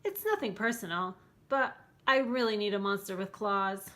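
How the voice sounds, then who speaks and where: A woman's voice: mid-range voice, normal speed, a bit bright, natural voice. A woman voicing a picky, plucky child in a storybook, frank and demanding. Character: picky, plucky child wanting a scary monster.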